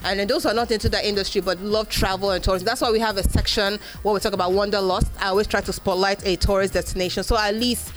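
A lively Nigerian woman whose first language is Igbo, answering interview questions with energy. She breaks into acted-out quotes and uses lots of "um" and "like". Her voice sits in a middle range, with faint music behind her. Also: mid-range voice, quick pace, few pauses. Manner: animated storyteller, acts out quoted voices, frequent fillers. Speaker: podcast host being interviewed. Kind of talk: live panel interview.

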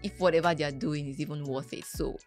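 A woman's voice: low voice, fairly even intonation, fast talker, darkish tone, faint music behind. A woman with a Nigerian accent hosting a radio interview, asking questions in a conversational way. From Anambra State, southeastern Nigeria.

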